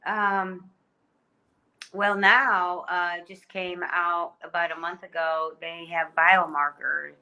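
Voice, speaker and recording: A woman, a former cheerleader, chatting as a guest on a video call interview, friendly and quick to laugh. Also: fairly low pitch, little pitch movement, pushed and bright, low-quality recording. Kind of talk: casual interview chat.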